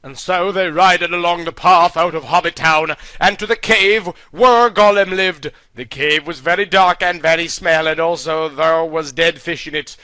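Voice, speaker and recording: A man reading a silly comic story aloud in a deadpan, mock-naive way. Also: a little high, moderate pace, hardly any pauses, no room sound.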